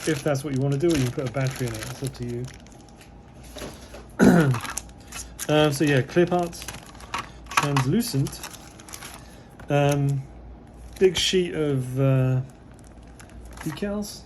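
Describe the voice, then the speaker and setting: A slightly hoarse man, losing his voice, giving relaxed, rambling commentary as he unboxes a model kit, with many fillers. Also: medium pitch, takes it slowly, long pauses, background noise.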